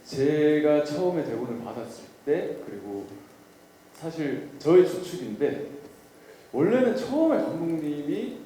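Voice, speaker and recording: A mellow-toned man talks at a press event, easygoing and a bit self-deprecating. His voice rings through a large echoey hall, with faint noise behind it. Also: fairly high pitch, big pitch swings, measured pace. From Daegu, South Korea. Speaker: lead actor at a press event. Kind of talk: answering press questions.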